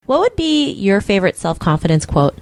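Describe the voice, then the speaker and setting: A woman's voice: medium pitch, very animated, moderate pace, gravelly, dry recording, clean studio sound. A woman interviewing a guest in a casual, chatty way, full of fillers and upbeat affirmations.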